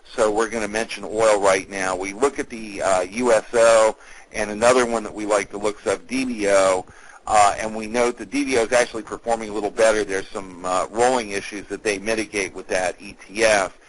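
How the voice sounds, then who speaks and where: A man hosting a call as an analyst, giving a semi-scripted market briefing in a measured, businesslike, explanatory way. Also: on the low side, steady tone, low-quality recording.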